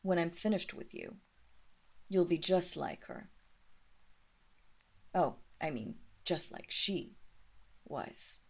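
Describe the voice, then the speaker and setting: Slow, low-voiced vampire mistress, acted by a woman. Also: animated, exaggerated voice. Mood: cold, menacing. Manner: slow, commanding, deliberate, with drawn-out words and breathy whispers. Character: haughty, seductive female vampire lord, mistress of a great house. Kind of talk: roleplay audio drama acting.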